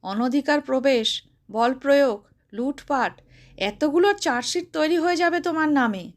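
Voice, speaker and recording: A playful young housewife, acted out by a woman reading a story, high in pitch. She is modest and teasing, turning her reluctance into light, joking protest. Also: moderate pace, close to an everyday voice.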